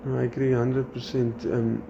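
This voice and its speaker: A man's voice: medium pitch, moderate pace, creaky. A South African man whose first language is Afrikaans, chatting casually as a podcast host and acting out his students' lines. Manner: animated, quotes his students. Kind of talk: casual chat. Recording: slightly dull sound with faint background noise.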